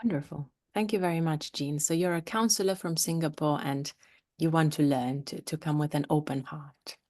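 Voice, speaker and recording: A woman from Romania, a coach and trainer, speaking in an inviting, measured way as she leads a video-call webinar. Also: low-pitched, steady tone, darkish tone. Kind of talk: live online workshop talk.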